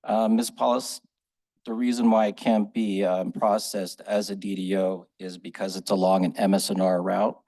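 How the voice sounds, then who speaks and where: A man presenting a planning case at a public hearing, steady with frequent pauses and fillers. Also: on the low side. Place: council chamber.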